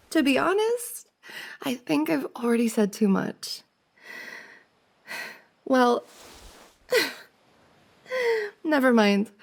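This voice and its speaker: A woman's voice: fairly high pitch, gravelly, darkish tone. A woman playing a friend's giggly, teasing older sister in an intimate, conversational ASMR roleplay. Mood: coy, flustered.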